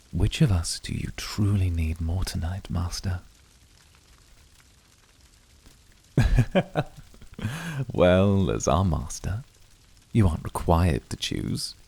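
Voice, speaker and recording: A man acts out a poetic, composed incubus in an ASMR roleplay. His voice is rock-bottom deep and very husky, breathy at the edges. He speaks slowly and formally, seductive and devoted, often slipping toward a whisper. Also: a little mellow, exaggerated voice. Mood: teasing, devoted. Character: composed, poetic, devoted male incubus of calm, icy temper. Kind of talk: flirty ASMR roleplay acting.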